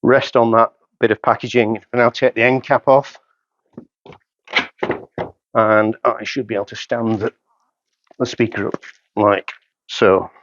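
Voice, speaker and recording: A man's voice: lowish voice, little pitch movement, measured pace, long pauses, fairly bright tone, no echo. Middle-aged man, dry and slightly dull sound. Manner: matter-of-fact, step-by-step narration in short phrases. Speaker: hi-fi dealer presenter. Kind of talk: hands-on product setup demonstration, talking while working. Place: carpeted indoor showroom room.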